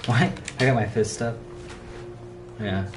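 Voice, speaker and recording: A man's voice: medium pitch, slow, noisy background. A young man in his late teens chatting casually while streaming a VR game, rambling and saying "dude" a lot. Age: young adult. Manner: laid-back, rambling, lots of "dude" and "like". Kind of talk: casual chat while gaming. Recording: distant mic under loud game music.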